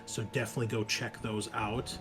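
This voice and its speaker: A man's voice: on the low side, flat delivery, brisk, over music. A man hosting a show, giving a chatty, informal introduction in a casual style. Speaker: podcast host.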